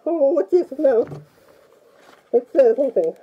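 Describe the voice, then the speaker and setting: Timid Italian plumber man voiced by a woman, put-on Italian accent, nervous, rambling, high voice, muffled lo-fi sound. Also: expressive, unhurried. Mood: startled. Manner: nervous, rambling, frequent "oh" and "mamma mia". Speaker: fan playing a video game character. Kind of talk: in-character game review.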